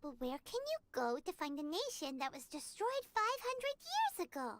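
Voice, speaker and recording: A woman voicing a tiny, chirpy, curious fairy guide with a squeaky childlike voice in an anime-style video game. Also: wildly swooping pitch, normal speed, fairly bright tone, no echo, exaggerated voice. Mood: inquisitive. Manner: refers to self in third person, exclamatory.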